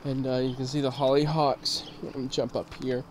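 A young man with a stuffed-up voice from a cold, giving a relaxed, rambling tour of his backyard garden on a handheld camera. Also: medium pitch, moderate pace, a little hiss or hum. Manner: relaxed, rambling, easily amazed. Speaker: gardening channel host. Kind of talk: casual garden tour vlog. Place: backyard garden outdoors at dusk. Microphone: handheld camera mic, filming himself walking.

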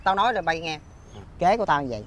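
An actor with a Southern Vietnamese accent playing a tipsy, foolish, cowardly drinking buddy in a folk-tale comedy drama, halting, repetitive and full of exclamations. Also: male voice, on the high side, sing-song, a bit bright, a little hiss or hum. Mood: sly, pompous.

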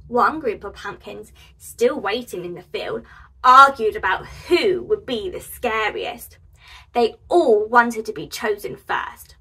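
A woman in her twenties reading a picture book aloud to preschoolers, animated and expressive. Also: high-pitched, breathy edge, distant mic, rough recording. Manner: animated, expressive, addressing young children. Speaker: children's storyteller and host.

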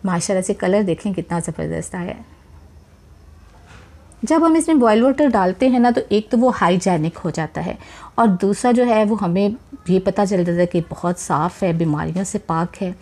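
A woman's voice: medium pitch, moderate pace. A woman hosting a cooking channel, talking viewers through a recipe in a chatty voice-over, often adding blessings as she works. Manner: warm, chatty, repeats religious blessings while cooking; addresses viewers directly, encouraging. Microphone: voice-over, speaker not seen.

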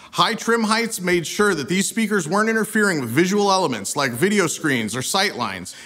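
A male presenter in his thirties with a high, very lively voice narrates a script as a video host. His delivery is polished, and long reverb hangs around the voice. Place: indoor set with wood-slat wall. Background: music bed.

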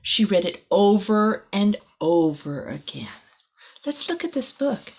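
A woman's voice: medium pitch, big pitch swings, slow, vocal fry, slightly rough. A middle-aged woman reads a children's picture book aloud expressively, with casual asides to young listeners, in a small room.

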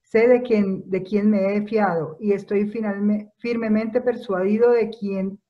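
A woman's voice: mid-range voice, steady tone, measured pace, dull audio. Woman reading scripture at home. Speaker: lector. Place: home room, indoors.

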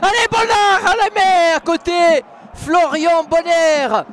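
A man commentating live on football for radio, excitable and partisan, repeating his phrases. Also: very high voice, slow. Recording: loud stadium crowd and noise. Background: stadium crowd.

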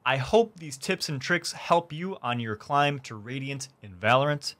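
A young man hosting a gaming tutorial, explaining things clearly step by step from his streaming room. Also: mid-range voice, expressive, a little slow, a bit bright, no room sound. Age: young adult. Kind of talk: tutorial narration. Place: small indoor room streaming setup.

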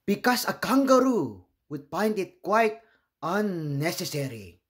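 A man reads a children's story aloud as its host. His voice sits a little high and moves with very animated intonation. He takes his time, delivering each phrase slowly and expressively. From Philippines.

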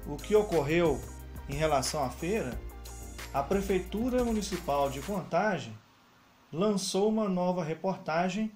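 A man with a fairly high voice talks through a news story for viewers. He walks through the material point by point, with faint music playing under his voice. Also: darkish tone. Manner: explains while pointing at web pages on screen. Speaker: news commentator. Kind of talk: screen-recorded news commentary. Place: voice-over over screen recording.

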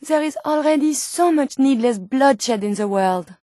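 An actress playing a polite, earnest, curious robot scientist with a French accent in a video game, speaking formally and precisely. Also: female voice, sits high, expressive, measured pace, mellow, no room sound, clean studio sound. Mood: polite indignation. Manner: formal, precise, with questioning tags. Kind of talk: video game character dialogue.

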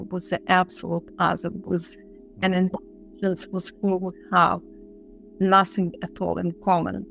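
A woman's voice: on the low side, vocal fry, fairly bright tone, low-quality recording. A middle-aged woman giving unscripted commentary as an academic expert in an interview. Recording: music behind the voice.

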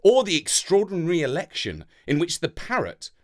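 Male narrator for children's fiction, slightly higher-pitched, playful and dramatic, extremely varied intonation. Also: wildly swooping pitch, moderate pace. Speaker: storyteller reading a children's story. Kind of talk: animated scripted narration of a children's story.

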